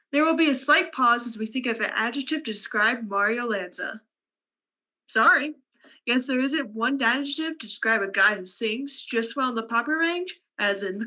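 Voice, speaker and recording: A female announcer with a fairly high, bright voice. She delivers scripted credits and program plugs as on old-time radio.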